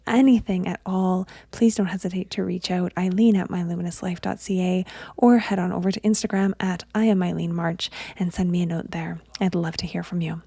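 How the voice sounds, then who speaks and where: Gravelly-voiced woman podcast host. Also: fairly low pitch, fairly even intonation, a little fast, dark tone, no room sound. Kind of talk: podcast interview.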